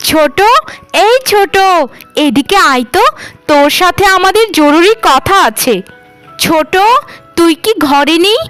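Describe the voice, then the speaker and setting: A woman acts out a vain, jealous landlord's daughter for a cartoon. The voice is very high and animated, scolding and sarcastic, recorded dry with music behind it. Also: unhurried, long pauses, heavily acted. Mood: impatient, calling. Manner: argumentative, sarcastic. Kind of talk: cartoon voice acting.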